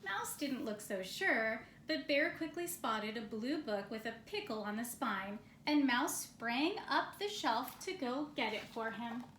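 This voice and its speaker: A woman reads a children's story aloud at an unhurried, slow pace. Her voice is fairly high and bright, recorded in a roomy, echoing space. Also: animated. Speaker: storybook reader. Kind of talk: scripted children's story narration. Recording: roomy echo, faint background noise.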